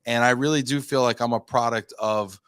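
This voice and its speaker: A man's voice: medium pitch, fairly even intonation, fairly quick, fairly bright tone, clear, resonant tone, no room sound, clean studio sound. A man in his thirties, a company founder being interviewed, telling his story in a chatty, self-deprecating, rambling way. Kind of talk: casual interview talk.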